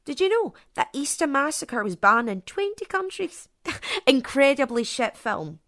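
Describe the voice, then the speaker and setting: A woman playing a sarcastic, excitable, sweary zombie survivor in an audio drama. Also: high-pitched, unhurried, exaggerated voice. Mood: casual, amused.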